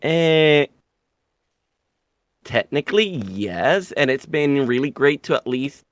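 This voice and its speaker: A man's voice: on the high side, animated, takes it slowly, dry recording, studio quality. A young man from Puerto Rico talking casually as an interview guest, rambling and self-deprecating, with the odd swear word. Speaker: streamer guest.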